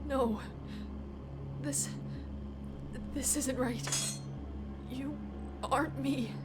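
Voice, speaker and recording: A woman's voice: sits high, steady tone, very slow, heavy background noise, voice pushed up. A woman voicing a guilt-ridden heroic pony mare in a story reading, faltering and pleading as she protests. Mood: shaken. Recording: music bed behind the voice.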